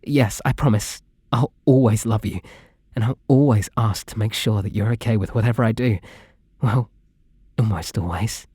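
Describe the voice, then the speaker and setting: Man acting a shy British yandere boy, soft mellow voice. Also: lowish voice, normal speed, slightly rough, no echo. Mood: adoring, possessive. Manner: hesitant, earnest, politely sweet, rambling. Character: shy, lovestruck, possessive young male yandere kidnapper. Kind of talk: intimate ASMR roleplay acting addressed to the listener.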